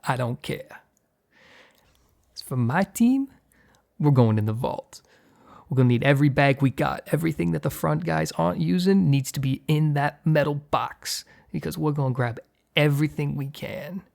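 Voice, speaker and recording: A man playing a gruff, short-tempered mob boss in an ASMR roleplay, with a gravelly, husky voice. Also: on the high side, moderate pace. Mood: commanding, businesslike. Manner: commanding street-tough slang with crew, flustered hushed stammer with partner. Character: gruff, short-tempered male mob boss hiding his work from partner.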